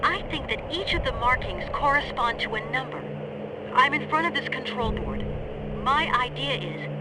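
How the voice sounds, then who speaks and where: An actress playing a clever, earnest young researcher with a teasing, explanatory manner in a video game. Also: female voice, high-pitched, expressive, moderate pace, very noisy. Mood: focused, teasing.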